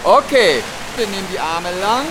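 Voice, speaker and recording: A woman's voice: mid-range voice, lively intonation, normal speed. A woman teaching a swimming class at an indoor pool, giving encouraging instructions. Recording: hiss and splashing water behind.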